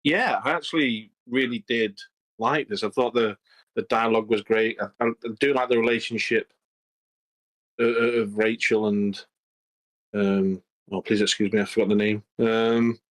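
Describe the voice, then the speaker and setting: A man's voice: lowish voice, fairly even intonation, normal speed, fairly bright tone. A man giving casual, somewhat hesitant feedback on an online meeting. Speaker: script feedback participant.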